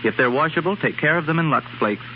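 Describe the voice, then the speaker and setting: A man's voice: a little high, lively intonation, fast talker. A man, a fashion expert guest, giving a scripted talk in 1930s radio style. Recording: hissy muffled 1930s radio recording.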